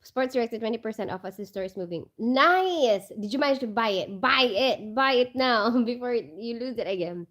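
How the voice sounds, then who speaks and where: A woman's voice: fairly high pitch, animated, normal speed, few pauses, a bit bright. A woman chatting casually to viewers, full of fillers and asides. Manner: chatty, many fillers, reads out comments. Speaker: vlogger. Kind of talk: casual live chat with viewers.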